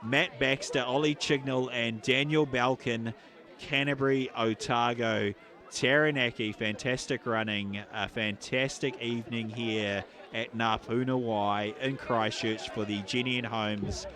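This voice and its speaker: A man calling a live track race, building excitement as the runners pull apart. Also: a little slow. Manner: excitable, rises in pitch and volume during the race. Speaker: athletics commentator. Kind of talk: live athletics commentary. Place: outdoor stadium.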